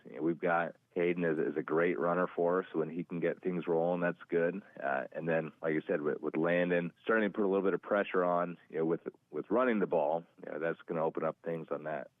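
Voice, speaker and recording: A thin, phone-like radio sound carries an American man's low voice, dry with no room around it. He is a football coach being interviewed, plainspoken and halting as he repeats words. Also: no room sound. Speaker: high school football coach, interview guest.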